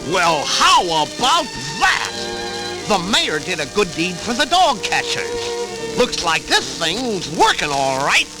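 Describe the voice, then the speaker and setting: Casting: blustery scheming cartoon tomcat, slobbery lisp, high and swooping, on a vintage record. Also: male voice, wildly swooping pitch, slow, full character voice, poor audio. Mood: amazed. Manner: blustery, exclamatory. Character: lisping, grumpy, scheming cartoon cat. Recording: vintage 78rpm record with music.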